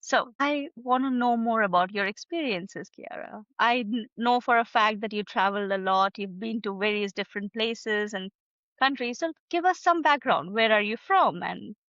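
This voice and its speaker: A woman hosting a podcast, giving an earnest, measured introduction and then asking her guest questions. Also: a little high, a bit bright, no room sound, lo-fi sound. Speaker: podcast host. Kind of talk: podcast interview.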